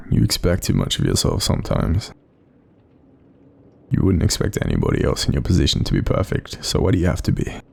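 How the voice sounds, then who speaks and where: A kindly Australian sleep paralysis demon, acted by a man, with an extremely low voice full of heavy creak. He soothes and reassures in an informal, gentle way, and his husky delivery rises and dips freely as in an intimate roleplay audio. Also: heavily acted. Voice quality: very deep, gravelly, breathy. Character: kind, supportive male sleep paralysis demon watching over someone.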